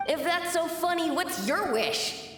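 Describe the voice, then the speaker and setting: A woman's voice: extremely high pitch, lively intonation, a little slow, a bit bright, a little hiss or hum, exaggerated voice. An eager little boy, echoey flashback. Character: young boy dreaming of food, eager. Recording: echoey, distant, long reverb.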